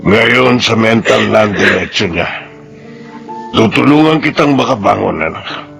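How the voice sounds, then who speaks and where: Gravelly, concerned old grandfather. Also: on the low side, unhurried, fairly bright tone, faint music behind. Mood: remorseful. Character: concerned, protective elderly grandfather and father. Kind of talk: Filipino radio drama acting.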